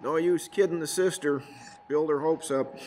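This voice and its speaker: A man's voice: on the high side, fairly even intonation, moderate pace, a little mellow, natural voice. A man playing a dry, resigned police detective partner in an amateur radio play, on a noisy recording.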